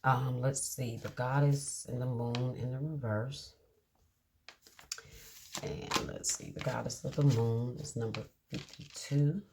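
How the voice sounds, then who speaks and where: A woman giving a casual tarot reading to her viewers, reading card meanings aloud slowly and checking in with a reassuring "okay?". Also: very deep voice, fairly even intonation, background noise. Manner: drawn-out, reassuring, many "okay?" check-ins. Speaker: tarot reader.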